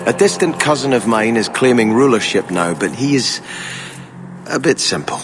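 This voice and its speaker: Game casting: male actor as devout, courteous prince in exile, formal and earnest, lively melodic delivery. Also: medium pitch, normal speed, soft background music, natural voice. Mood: grave, measured. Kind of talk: video game dialogue.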